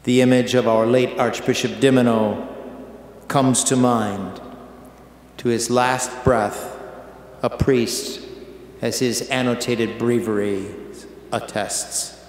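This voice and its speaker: An older American man, an archbishop, reading a homily in a measured, solemn way with long pauses in a large church. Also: mid-range voice, steady tone. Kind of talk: slow read homily. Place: large shrine church, indoors. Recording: echoey church with long reverb.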